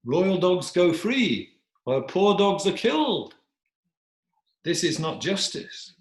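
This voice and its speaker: A man's voice: sits high, very animated, takes it slowly, reverberant, pitched above the performer's own voice, exaggerated voice. A man voicing a wise, bold storybook dog who courteously and formally admonishes a king. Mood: solemn reproach.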